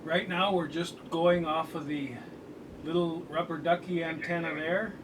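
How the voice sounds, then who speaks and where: A man's voice: a little high, steady tone, measured pace. A middle-aged American man giving a casual product demonstration with folksy, colloquial phrasing. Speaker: radio scanner hobbyist and reviewer. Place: indoor room at home. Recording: distant camera mic, clearly noisy room. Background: radio scanner chatter, noise.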